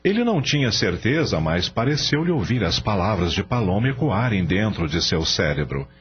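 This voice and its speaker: A man narrates a radio drama in a fairly low voice, with a lot of movement in his pitch. The recording is somewhat dull, with a faint hum. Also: sing-song, normal speed.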